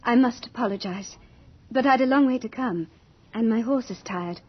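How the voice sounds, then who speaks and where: An actress playing Death as a lovely, shy young girl in an old-time radio drama, sweetly polite and breathy. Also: on the high side, moderate pace, natural voice. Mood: apologetic. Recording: dull old radio recording, faint music.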